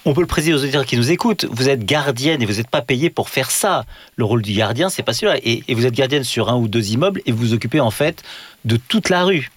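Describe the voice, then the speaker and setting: A man's voice: mid-range voice, expressive, quick pace, slightly rough, no echo. Emphatic male radio interviewer. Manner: emphatic, admiring questioning. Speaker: radio reporter.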